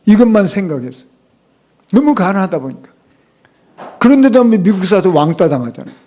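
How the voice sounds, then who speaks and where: A man's voice: high-pitched, very animated, fairly quick, dark tone. A middle-aged man preaching a sermon as a pastor, speaking emphatically and asking the congregation rhetorical questions. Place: church sanctuary.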